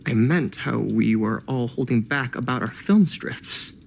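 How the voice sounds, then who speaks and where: Slick, wry collector. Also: male voice, mid-range voice, unhurried, gravelly, slight background noise. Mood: knowing, dramatic. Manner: glib, teasing, folksy. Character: slick, wry, pushy male film collector.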